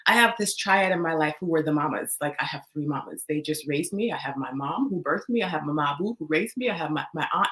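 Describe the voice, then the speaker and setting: A woman's voice: lowish voice, poor audio. A middle-aged woman from Haiti, a trauma-informed coach, speaking as a guest in a thoughtful, reflective way and often ending points with "right?". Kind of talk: reflective interview talk.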